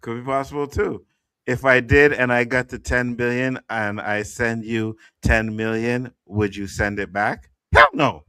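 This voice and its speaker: Joking male host, bright tone, dry mic. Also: mid-range voice, steady tone, a little slow, clean tone, no room sound. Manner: loose, swearing, joking. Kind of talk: casual podcast chat.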